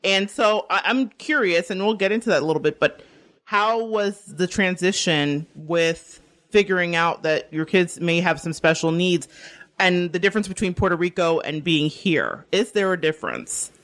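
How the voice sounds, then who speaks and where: A woman's voice: on the low side, moderate pace, a bit bright. A woman from the Bronx hosting a radio talk show, introducing a guest and interviewing her, laughing often. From the Bronx, New York.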